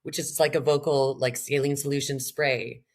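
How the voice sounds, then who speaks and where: Canadian woman singer chatting on a podcast, bit raspy, rambly, laughs at herself. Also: very deep voice, steady tone, normal speed. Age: middle-aged. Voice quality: slightly raspy. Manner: rambling, self-deprecating, frequent laughs and restarts. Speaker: singer-songwriter guest. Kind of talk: candid podcast conversation.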